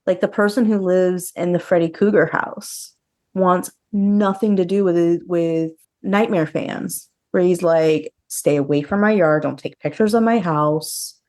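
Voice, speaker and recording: A woman's voice: on the low side, steady tone, a little slow, a little mellow. A woman co-hosting a podcast, chatting casually about horror news in a conversational way peppered with filler words. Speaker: podcast co-host.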